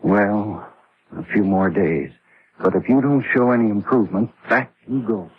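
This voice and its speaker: An actor playing a stern, practical doctor with a measured, authoritative manner in an old radio drama. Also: male voice, fairly low pitch, husky. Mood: grave concern. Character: stern, practical male doctor at a remote outpost. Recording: dull, faintly noisy old radio recording.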